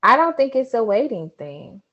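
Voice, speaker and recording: A woman in her twenties, a guest in a casual chat, laid-back and thinking out loud with frequent laughs. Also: a little high, big pitch swings, moderate pace, muffled recording. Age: 20s.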